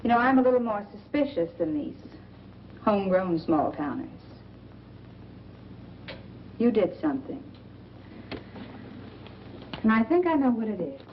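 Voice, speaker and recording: A woman's voice: mid-range voice, very animated, a little slow, long pauses. An actress playing a shrewd, world-weary woman drawn to bad men, knowing and sardonic in a 1940s noir style. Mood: cool, knowing. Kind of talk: 1940s film noir acting. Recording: dull, noisy old film soundtrack.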